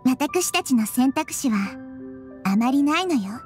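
A woman voicing a young girl goddess, calm, gentle and wise, who speaks softly and with composure. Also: high voice, unhurried. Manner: soft, composed, reassuring. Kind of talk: Japanese game voice acting. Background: game music.

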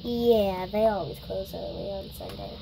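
A woman's voice: mid-range voice, steady tone, a little slow, gravelly, warm tone, slight background noise, rough recording. A girl joining a toy shopping scene with short, eager replies. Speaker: toy-play partner. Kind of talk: improvised toy play-acting.

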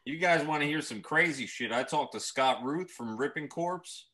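A man's voice: on the high side, moderate pace, pushed and bright. A man who just turned fifty tells a story animatedly on a video call, swearing and quoting himself. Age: 50s.

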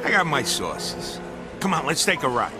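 An actor playing a cocky young hustler, slick and self-assured, in a game cutscene. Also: male voice, fairly high pitch, sing-song, moderate pace, over music. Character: cocky young hustler friend, smooth talker.

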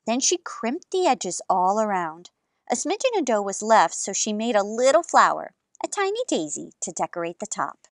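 A woman in her thirties hosting a children's storytime, narrating in an expressive, animated way. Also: medium pitch, normal speed, no echo, clean studio sound. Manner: animated, gesturing.

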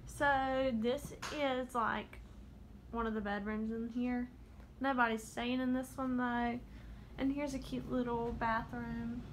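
A woman's voice: fairly high pitch, little pitch movement, takes it slowly, a little hiss or hum, poor audio. Chatty young woman vlogging. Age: young adult. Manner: chatty, upbeat, filler-heavy. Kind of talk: casual vlog tour. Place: rental condo indoors. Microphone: handheld phone at arm's length.

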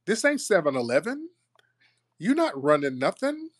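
American guy running a call-in roast, cuts people off, keeps repeating himself, kinda high voice. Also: wildly swooping pitch, normal speed, dry recording, studio quality. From United States. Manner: blunt, interrupting, repeats questions. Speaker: radio show host.

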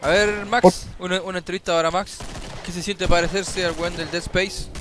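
A man's voice: high-pitched, little pitch movement, moderate pace. A Chilean man chatting casually while recording an online game, joking and swearing in slang. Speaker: gamer recording gameplay. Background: video game gunfire.

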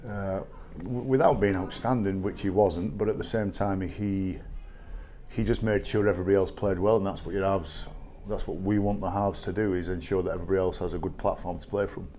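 A middle-aged man with an English accent, a rugby coach answering post-match press questions in a measured, halting way with many fillers. He sits at a table in a small press room. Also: sits low, steady tone, warm tone, a little hiss or hum. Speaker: rugby league head coach. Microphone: table microphone.

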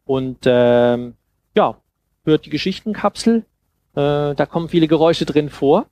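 A man's voice: fairly high pitch, unhurried, darkish tone. A man in his thirties explaining things informally in a festival talk, speaking into a headset mic. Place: small dim lounge room at a festival.

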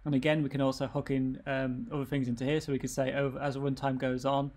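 A man presenting a software tutorial, explaining things informally step by step. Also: mid-range voice, flat delivery, fast.

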